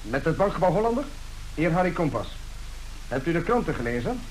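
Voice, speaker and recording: A man's voice: fairly high pitch, sing-song, a little fast, poor audio. An actor in a radio play playing the brash, scheming young son of a rich businessman. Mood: cocky, scheming. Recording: old radio play with faint noise.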